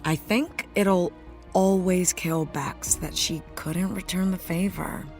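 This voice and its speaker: A woman playing a fond, expressive actress reminiscing in a documentary-style interview. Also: lowish voice, slow pace, over music. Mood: wistful. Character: affectionate actress reminiscing fondly about her co-star in interview.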